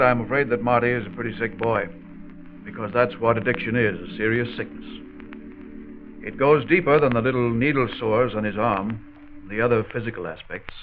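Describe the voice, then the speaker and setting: An actor playing a grave, authoritative family doctor who explains things in a measured way, in a 1940s radio drama. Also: male voice, medium pitch, lightly acted. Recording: tinny, noisy old radio recording.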